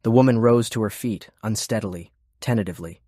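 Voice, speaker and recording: A man's voice: fairly low pitch, fairly quick, mellow, dry recording, studio quality. A man reading scripted fiction as an audiobook narrator.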